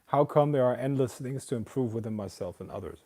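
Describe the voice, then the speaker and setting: A man's voice: mid-range voice, brisk, darkish tone. A man hosting a casual livestream Q&A, reading viewer questions aloud and then answering them in an emphatic, lecturing way. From outside America.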